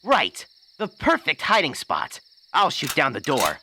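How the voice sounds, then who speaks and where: A man's voice: on the high side, huge pitch swings, unhurried, bright, forceful tone, breathy edge, exaggerated voice. An actor playing a menacing, zealous witch-hunting thug in an audio drama.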